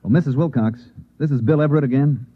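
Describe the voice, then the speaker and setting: A man's voice: medium pitch, fast, breathy edge, natural voice. An actor playing an insistent, earnest newspaperman in an old-time radio drama, with urgent, clipped delivery. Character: insistent, earnest newspaperman narrating his strange night. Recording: muffled, narrow-band old radio recording.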